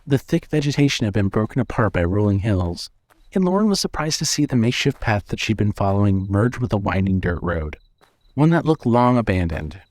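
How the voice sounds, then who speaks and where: A man's voice: fairly low pitch, sing-song, fairly quick, a little mellow, no echo. A man reading scripted suspense fiction as a narrator.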